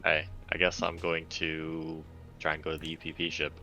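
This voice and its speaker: A man's voice: rumbling low, monotone, moderate pace, sharp tone, rough recording. A man chatting casually as a player in a tabletop roleplaying game. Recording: faint background music.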